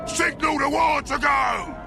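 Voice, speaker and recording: An actor playing a brutish, bossy, scheming orc warboss in a game cutscene, with a gravelly Cockney voice, crude and gloating. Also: male voice, very high-pitched, a little slow, exaggerated voice. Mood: commanding, gleeful. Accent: Cockney English. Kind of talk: video game cutscene acting. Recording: loud music behind. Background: loud music.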